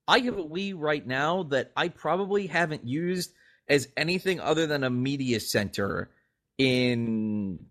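A man co-hosting a podcast, talking casually and giving his opinions in an animated, skeptical, sarcastic way. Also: medium pitch. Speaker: podcast co-host.

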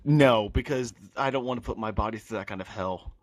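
A man's voice: lowish voice, a little fast, no room sound. A young man co-hosting a casual podcast chat, wry and joking. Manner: wry, joking, laughs often. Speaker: podcast co-host.

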